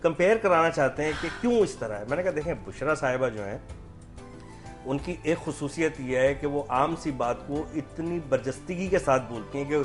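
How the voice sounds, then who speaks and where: A man chats as a guest on a TV show, joking and animated. His voice is a little high for a man. Soft music plays faintly behind him. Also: moderate pace. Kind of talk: casual studio chat. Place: TV studio.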